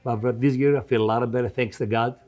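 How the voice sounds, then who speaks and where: Mellow older Greek-accented man. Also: mid-range voice, fairly even intonation, fast. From Greece. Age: 70s. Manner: non-native English grammar, repeats phrases. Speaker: restaurant owner being interviewed. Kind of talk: conversational interview.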